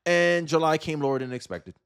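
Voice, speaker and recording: A man's voice: on the high side, a little fast, a bit bright, clean tone. An American man giving casual, opinionated political commentary in an exasperated, emphatic way, firing off rhetorical questions.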